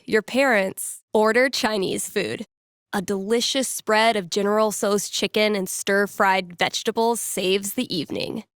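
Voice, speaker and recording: A woman's voice: mid-range voice, dry recording, clean studio sound, natural voice. An actress playing a wry, playful young American woman in a video game, with casual radio DJ patter and a teasing way of talking.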